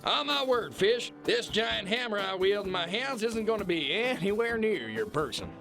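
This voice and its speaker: A man's voice: high voice, very animated, normal speed, over music. A man playing a bombastic, corrupt kingpin boss in an audio drama, blustering, mocking and condescending. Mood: taunting, menacing. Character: bullying, corrupt alpha-male kingpin boss, bombastic and scornful. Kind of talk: theatrical radio-play acting.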